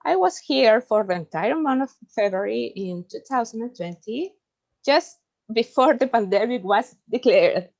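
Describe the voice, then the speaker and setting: A young Chilean woman, a native Spanish speaker, carefully reading a research presentation in English with halting ums. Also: on the high side, animated, creaky, a little mellow, muffled recording.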